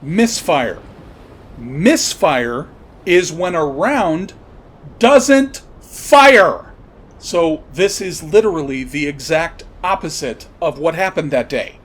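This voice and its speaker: Male commentator, higher-pitched voice, opinionated and emphatic solo delivery, with faint rumbling noise on the recording. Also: lively intonation, slow pace, fairly bright tone. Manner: emphatic, repeats and spells out points. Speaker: podcast host commentator.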